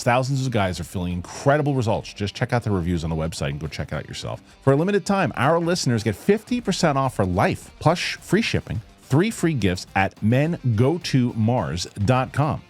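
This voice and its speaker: A man's voice: medium pitch, darkish tone. A man hosting a news show, speaking in a conversational, loosely rambling commentary style. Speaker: host of a UFO news show. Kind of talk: casual news commentary with host-read ad.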